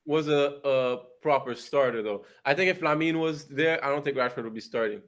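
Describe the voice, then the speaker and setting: A young man with a Haitian accent, co-hosting a podcast and arguing about football with animated energy. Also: medium pitch, normal speed. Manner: animated, laughs and cuts in. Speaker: podcast co-host. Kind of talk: casual football debate.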